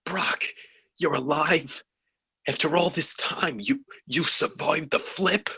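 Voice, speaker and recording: A man at a live table read playing an earnest, solemn veteran security guard with a husky, halting voice. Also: on the high side, sharp tone, no room sound, heavily acted. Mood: stunned.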